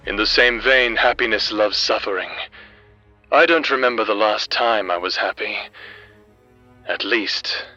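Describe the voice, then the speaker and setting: An actor playing a brooding villain who takes bitter glee in misery, speaking deliberately in an audio drama. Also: male voice, on the low side, pushed and bright, lo-fi sound, faint music behind, exaggerated voice. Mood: dark satisfaction. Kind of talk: dramatic audio roleplay acting.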